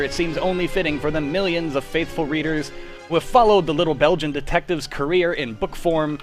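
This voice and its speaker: A man's voice: on the high side, lightly acted. Man as a theatrical radio announcer over music. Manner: theatrical, formal. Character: grand, dramatic old-time radio announcer.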